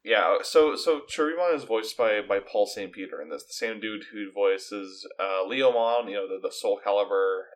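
A man's voice: on the low side, moderate pace, few pauses. A man co-hosting a podcast in casual, opinionated chat, with an emphatic, critical and wry manner. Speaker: podcast co-host.

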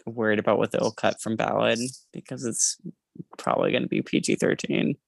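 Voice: mid-range voice, fairly even intonation, fairly quick, vocal fry, no room sound. A podcast co-host casually discussing books in an emphatic way, often repeating phrases.

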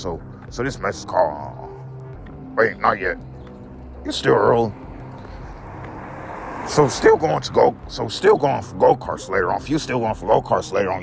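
A young man with a deep voice, talking in a rambling, repetitive vlog rant, whose voice jumps high when he gets upset. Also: creaky. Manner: repeats each phrase, voice leaps high when upset. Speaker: vlogger.

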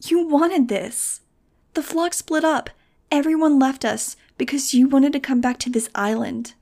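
A woman's voice: measured pace, darkish tone. A woman voicing a sensitive, weary young winged mutant girl who talks in a pleading, emotional way. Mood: alarmed. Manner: pleading, emotional, higher-pitched.

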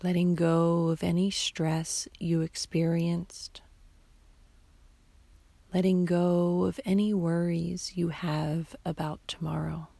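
A woman guiding a sleep meditation in a soft, half-whispered, soothing voice, leaving long pauses between short phrases. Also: fairly low pitch, little pitch movement, creaky, breathy edge, no echo. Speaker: meditation guide.

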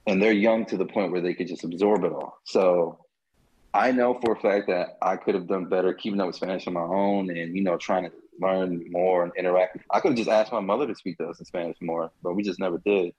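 A man talking casually about family and language, relaxed and swearing now and then. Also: low voice, brisk, lo-fi sound.

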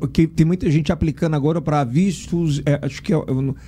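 Fast, slangy Brazilian podcast host. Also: male voice, on the high side, mellow, slightly rough. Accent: Piauí Portuguese. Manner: informal, slangy, leading questions. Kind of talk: casual podcast interview. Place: podcast studio.

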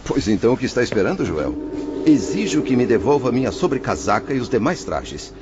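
An actor playing an anxious, ill-tempered former merchant in a radio drama, speaking in pompous, ornate formal phrases. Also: male voice, medium pitch, sing-song, brisk, very noisy, natural voice. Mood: impatient, demanding. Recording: muffled band-limited audio with music behind.

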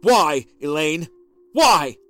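A volatile husband with an explosive temper, played by a male narrator, his fairly high voice rising and falling as he accuses. Soft music sits faintly behind him. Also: lively intonation, a little fast. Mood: accusing. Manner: forceful, accusing.

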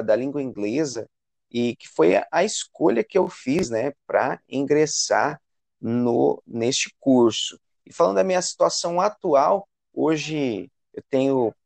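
A man with a Brazilian accent from São Paulo state, explaining things conversationally as an interview guest, often halting and repeating words. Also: medium pitch, creaky, a little mellow, dry recording. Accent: Brazilian Portuguese, São Paulo state. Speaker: English teacher and university student, interview guest.

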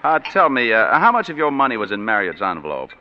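A man's voice: fairly low pitch, huge pitch swings, quick pace. An actor playing a wisecracking hard-boiled private detective who narrates his own story in a 1940s radio drama, with dry, sardonic delivery. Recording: dull 1940s radio broadcast with music.

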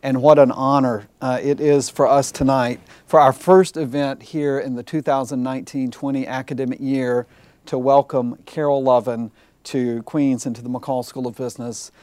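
A man hosting a university lecture series, giving the introduction and interviewing the guest with relaxed pauses and many "uh"s. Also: medium pitch, no echo. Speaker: university host and moderator. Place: university lecture hall with audience.

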